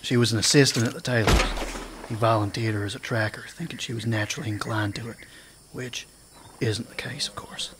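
An actor playing a gruff, blunt old-west marshal in a radio drama. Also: male voice, fairly low pitch, fairly even intonation, a little fast, background noise, natural voice. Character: gruff weary male marshal in 1909.

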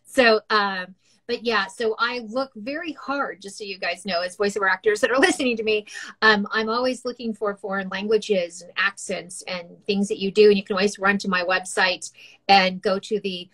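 A woman's voice: mid-range voice, a bit bright, low-quality recording. A middle-aged woman who casts and does voices, chatting casually in an interview, laughing often between fillers. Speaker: voice casting director and voice actor.

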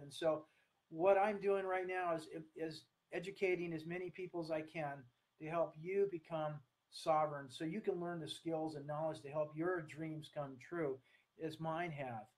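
An American man in his sixties speaks earnestly in a slightly high voice for a man. He is giving an online talk from a room at home, partly reading, and his delivery is halting and deliberate. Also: fairly even intonation, rough recording. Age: 60s. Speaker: speaker, coach. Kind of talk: online talk to camera, partly read from notes. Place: small room at home, indoors. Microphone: webcam or laptop at desk distance.

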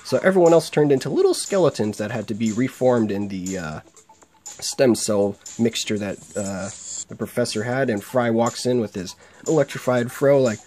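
A man's voice: medium pitch, darkish tone. A young man with a sore throat chatting casually through a game, reading its lines aloud. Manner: chatty, laughs while reading. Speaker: game let's play host. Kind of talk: casual gameplay commentary, reading dialogue aloud. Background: faint game music.

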